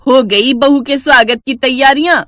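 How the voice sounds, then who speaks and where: A woman voicing an older widowed neighbor woman who talks slowly and coaxingly. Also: high voice, a bit bright, slight background noise, pitched above the performer's own voice.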